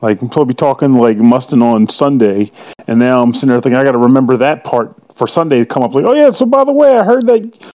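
A man's voice: mid-range voice, animated, quick pace, mellow, no room sound, lo-fi sound. A man in his forties chatting casually and joking, swearing now and then. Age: 40s. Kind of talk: casual chat.

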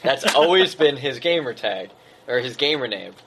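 Man, podcast host, casual rambling chat, mid pitch, faint background hiss. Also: expressive, moderate pace. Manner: rambling, joking, swears freely.

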